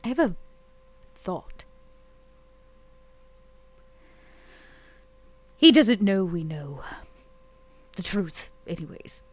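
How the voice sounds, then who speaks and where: A woman roleplaying a proud, guarded, bitter vampire woman in a tabletop game, speaking curtly and deliberately with intense pauses. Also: on the low side, sing-song, husky, exaggerated voice. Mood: brooding, calculating.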